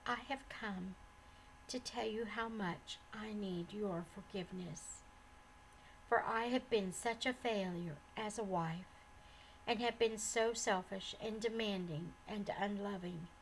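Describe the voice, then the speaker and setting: A woman voicing a soft, humble young wife. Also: slow, slight background noise, low-quality recording. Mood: penitent. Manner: soft, low, trembling.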